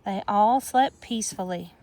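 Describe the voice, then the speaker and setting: A woman reading a rhyming picture book aloud to children in an expressive sing-song manner, with chatty asides, outdoors on a farm with goats. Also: medium pitch, moderate pace, poor audio.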